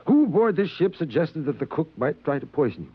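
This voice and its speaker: A muffled vintage radio recording of a stern male ship's captain. The voice sits a bit high for a man and is commanding and clipped, questioning with open suspicion. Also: very animated, fairly quick, breathy edge. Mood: stern, probing. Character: stern, suspicious male spaceship captain interrogating his crew. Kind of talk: old-time radio drama acting.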